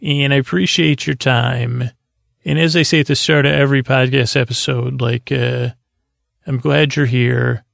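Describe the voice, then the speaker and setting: Creaky-voiced man rambling softly for sleep. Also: medium pitch, steady tone, no room sound. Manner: meandering, halting, repeats and trails off mid-thought. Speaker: podcast host. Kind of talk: rambling, soothing bedtime podcast monologue.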